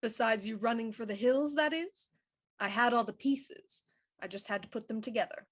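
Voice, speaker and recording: An actress playing a composed, shrewd Victorian police inspector in a radio mystery, speaking in a cool, deliberate, probing way. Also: female voice, a little high, close to an everyday voice.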